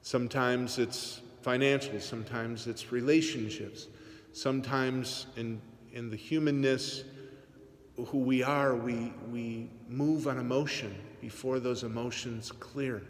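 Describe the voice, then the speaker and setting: A man in his forties, a priest, giving an earnest, measured homily to his congregation in a large church. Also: medium pitch, steady tone. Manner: earnest, measured preaching with teasing asides. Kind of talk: sermon. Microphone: phone camera on the pulpit, aimed up. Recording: long church reverb.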